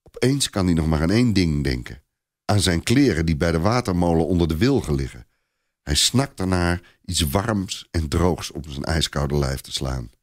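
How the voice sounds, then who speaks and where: A man with a low voice reads a story aloud as narrator. His delivery is expressive and deliberate, with lively rises and falls, recorded dry and close. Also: no room sound. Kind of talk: dramatised storytelling, scripted narration.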